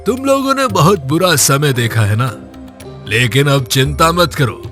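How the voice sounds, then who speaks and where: Man voicing a kindly, animated magic talking blanket. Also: fairly high pitch, unhurried, faint music behind. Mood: reassuring. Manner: reassuring, expansive. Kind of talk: animated moral story voice acting.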